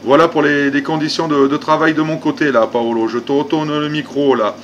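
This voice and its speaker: A man's voice: on the high side, fairly even intonation, brisk, lo-fi sound. A man from France chatting unscripted as an amateur radio operator, conversational, repeating phrases and adding little tag words. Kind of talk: unscripted radio contact chat. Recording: faint background noise.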